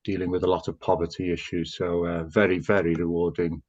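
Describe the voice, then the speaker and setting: A man from North Wales whose first language is Welsh, a chief executive telling his career story as a podcast guest, relaxed with frequent ums. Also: low voice, a little fast, lo-fi sound. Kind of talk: casual interview answer.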